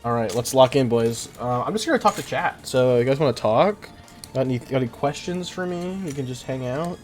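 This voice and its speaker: Young guy streamer rambling to chat, pitch jumping all over, sweary and self-mocking, bit of music behind. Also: lively intonation, fairly quick. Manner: slangy, profane, self-deprecating. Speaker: livestreamer, host. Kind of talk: casual livestream chat while building.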